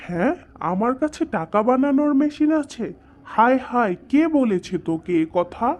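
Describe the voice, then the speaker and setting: A woman's voice: fairly high pitch, animated, moderate pace, muffled recording, natural voice. A woman with a Bangladeshi accent voicing a grumbling, evasive cartoon father, brusque and teasing in comic dialogue. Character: grumbling, evasive cartoon father dodging his child's demands.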